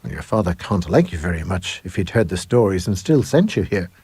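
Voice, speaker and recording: A man reads as a guarded new schoolboy in a ghost story. He is curt and aloof, affecting boredom in a husky, dry-recorded voice. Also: deep voice, sing-song, a little fast, mellow, no room sound, full character voice.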